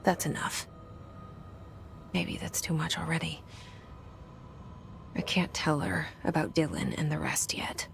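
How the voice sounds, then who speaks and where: An actress playing a guarded young woman who leads a secret agency, speaking her inner thoughts quietly and introspectively. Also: sits low, a little slow, creaky, pauses often, exaggerated voice. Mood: wary. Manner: quiet, introspective, half-whispered. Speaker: game protagonist. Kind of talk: inner monologue in game cutscenes. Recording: game score under voice.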